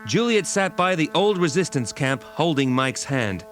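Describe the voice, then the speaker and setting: A man's voice: on the high side, big pitch swings, moderate pace, fairly bright tone. A man narrating a dramatic story from a script. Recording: music behind the voice.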